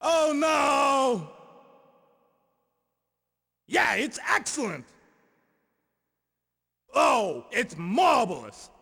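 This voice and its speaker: The excitable guy calling out from a fishing cabinet in a cavernous arcade, swooping wildly up and down, theatrical. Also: extremely high pitch, very slow, gravelly, long pauses, husky, heavily acted. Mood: alarmed, urgent. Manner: exclamatory, theatrical. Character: excitable male fishing guide cheering the player on. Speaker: video game announcer. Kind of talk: arcade game announcing, short exclamations.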